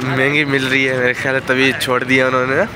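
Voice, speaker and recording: A man's voice: mid-range voice, completely flat delivery, quick pace, low-quality recording. A young man filming a casual travel vlog on a phone held at arm's length, walking around a mosque and repeating words of awe. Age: young adult. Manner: warm and awed, repeating blessings. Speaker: travel vlogger. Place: outdoors at a large mosque, then inside its hall. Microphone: handheld phone camera at arm's length.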